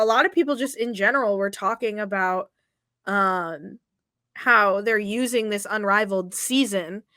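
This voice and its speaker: A woman, co-hosting a casual sports podcast, slangy and quick to swear, a little wheezy. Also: mid-range voice, sharp tone, no echo, clean studio sound. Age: 20s. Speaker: podcast co-host.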